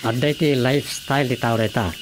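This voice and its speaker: An actor with an Ilocano accent playing a village councilor in a radio drama comedy, with a gravelly voice. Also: medium pitch, fairly even intonation, fast, natural voice. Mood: opinionated. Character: village councilor discussing health and bad habits. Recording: noisy radio drama track.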